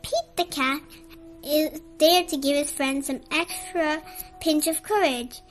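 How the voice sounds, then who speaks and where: Very high, bright child-like storytelling voice. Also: faint music behind. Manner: expressive, deliberate dramatic delivery for small children. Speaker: storyteller reading a picture book aloud. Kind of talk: animated children's read-aloud.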